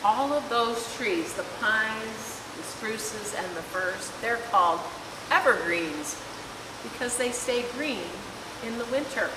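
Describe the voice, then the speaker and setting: A woman reading a children's picture book aloud in an expressive, deliberate storytelling manner. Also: on the high side. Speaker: volunteer story reader. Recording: echoey hall, distant mic, clear hiss.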